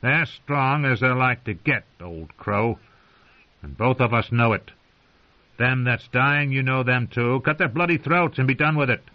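A man voicing a rough, menacing male wildling with coarse, rustic speech. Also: mid-range voice, a little slow, pitched above the performer's own voice, natural voice. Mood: surly. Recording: muffled lo-fi recording.

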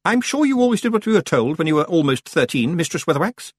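Man as a high-pitched prim, fretful witch. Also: huge pitch swings, a little fast, breathy edge, no room sound, studio quality, heavily acted. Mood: faintly sarcastic. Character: prim, fussy, anxious woman witch, a teacher type.

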